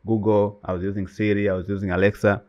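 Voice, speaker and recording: A man's voice: lowish voice, level tone, fast talker, darkish tone, muffled recording. A man whose first language is Swahili, co-hosting a casual chat and talking emphatically with many "you know"s. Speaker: co-host.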